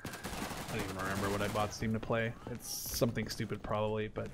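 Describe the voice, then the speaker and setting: A man's voice: fairly low pitch, level tone, fairly quick, noisy background. A man doing casual video game commentary in a rambling, profane, easily distracted way. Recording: gunfire and clicks behind the voice. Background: video game gunfire.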